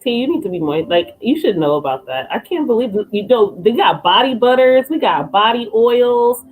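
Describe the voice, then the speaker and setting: A woman's voice: a little high, sing-song, moderate pace, phone-quality sound. A woman from Los Angeles with an LA accent, co-hosting a casual livestream and teasing bluntly with casual swearing. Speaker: podcast co-host.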